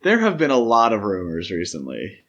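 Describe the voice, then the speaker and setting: A man's voice: on the low side, wildly swooping pitch, a little fast, fairly bright tone, muffled recording. A man co-hosting a podcast in casual film discussion, giving opinionated, emphatic points and often qualifying them as he goes. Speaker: podcast host.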